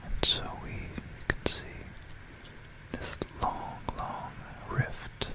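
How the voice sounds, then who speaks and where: An ASMR host whispering an educational history talk in an unhurried, explanatory manner, close to a stereo microphone. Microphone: close stereo binaural microphone.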